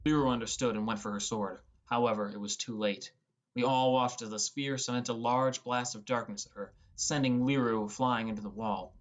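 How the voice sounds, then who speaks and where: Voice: mid-range voice, fairly even intonation, normal speed. An audiobook narrator reading a scripted first-person fantasy story.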